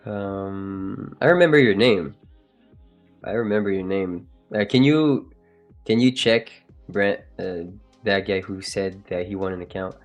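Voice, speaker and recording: A man's voice: low voice, measured pace, soft background music. A man with a French accent hosting a live trading stream, chatting casually and joking with viewers. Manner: joking, laughs often, trails off and restarts. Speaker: livestream trading host.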